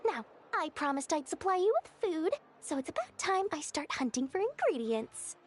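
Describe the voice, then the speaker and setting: A woman voicing a greedy, flattering young female merchant in an anime-style video game, salesy and theatrically courteous. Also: very high voice, normal speed. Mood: indignant, scheming.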